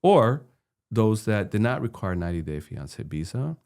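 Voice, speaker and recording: A man's voice: on the low side, very animated, moderate pace, a little mellow. A middle-aged man from Peru co-hosting a podcast, talking earnestly and asking probing questions, often repeating a word as he starts. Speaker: podcast co-host. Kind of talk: conversational podcast discussion.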